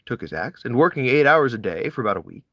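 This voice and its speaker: A man narrates literary prose in a medium-pitched voice. His intonation swings widely, with deliberate, dramatic phrasing. The sound is close and dry, as in an audiobook-style reading. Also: very animated, a bit bright, no echo, highs cut off. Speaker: audiobook-style reader of classic essays. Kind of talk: literary read-aloud, expressive scripted narration.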